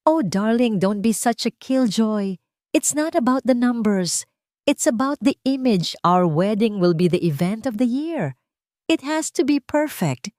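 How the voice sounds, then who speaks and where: A woman's voice: a little high, lively intonation, measured pace, a little mellow, dry recording, studio quality, pitched above the performer's own voice. A woman with a Filipino accent voicing a sweet, gushing, image-obsessed rich fiancée in an audio drama story. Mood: coaxing, insistent. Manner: honeyed, gushing.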